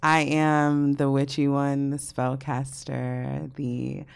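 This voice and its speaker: A woman's voice: very deep voice, flat delivery, takes it slowly, gravelly, smooth. A young adult woman from Brooklyn co-hosting a podcast, chatting casually, laughing often and swearing freely as she tells stories. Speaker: podcast co-host. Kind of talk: casual chat.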